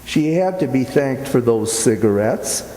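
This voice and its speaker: Male actor, musing uneasy man, radio play, halting, slow, mellow, reverberant hall. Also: mid-range voice, lively intonation, natural voice. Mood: defensive. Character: uneasy, imaginative man sightseeing at dusk with his sweetheart. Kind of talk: amateur radio play acting. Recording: echoey hall with long reverb.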